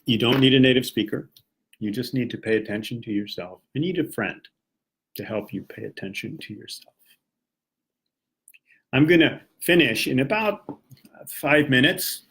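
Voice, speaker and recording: Friendly young American male English teacher, General American accent, casual live-stream delivery, middle pitch. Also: animated, moderate pace, vocal fry, pauses often, a bit bright. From Washington, D.C., United States. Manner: friendly, chatty explaining with rhetorical questions.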